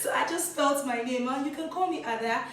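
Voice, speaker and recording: A woman's voice: high voice, fast talker, far from the mic, very noisy. A woman, hosting a casual vlog and chatting while she snacks, giggly and talkative, with crunchy bites between her words. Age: 20s. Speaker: vlog host. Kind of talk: casual talk while eating on camera. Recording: echoey room, set back from the mic.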